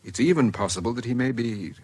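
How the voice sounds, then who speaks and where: An actor playing a devoted, earnest suitor in a radio drama, restrained and gently probing, with a British accent. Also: male voice, mid-range voice, expressive, fast talker, husky. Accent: British English. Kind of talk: BBC radio drama acting.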